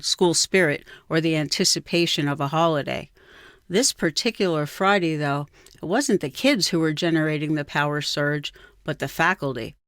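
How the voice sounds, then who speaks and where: A woman's voice: low-pitched, no room sound. Woman narrating in a dry recording. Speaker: writer reading own memoir. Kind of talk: scripted narration, personal story.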